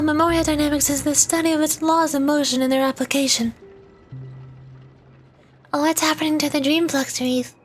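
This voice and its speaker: A woman with a high voice reads game dialogue aloud as a VTuber streamer. Music is clearly audible behind her.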